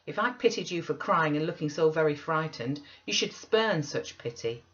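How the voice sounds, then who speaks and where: A woman's voice: low voice, animated, moderate pace, lo-fi sound. Indignant, scolding young lady, voiced by a woman reader. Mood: scornful, angry. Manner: sharp, scolding, imperious. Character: proud, impatient, indignant young lady, quick-tempered and scornful.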